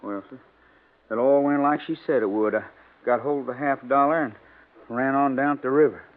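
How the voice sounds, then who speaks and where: A man's voice: mid-range voice, fairly even intonation, a little fast, natural voice. Man doing anxious meek servant fella from 50s radio drama, hesitant, folksy, old lo-fi sound. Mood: earnest, anxious. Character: nervous, deferential ex-convict male servant. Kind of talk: 1950s radio drama acting. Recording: band-limited old 1950s radio recording.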